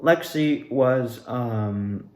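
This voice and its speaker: A young man recounts his stage performance casually and conversationally. His pitch is middling, and the room rings with echo. He slips into acted quotes as he retells things. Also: very slow. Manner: animated retelling, acts out quoted lines. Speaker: amateur actor recounting own performance. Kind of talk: casual self-interview storytelling. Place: indoors at home. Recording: echoey room.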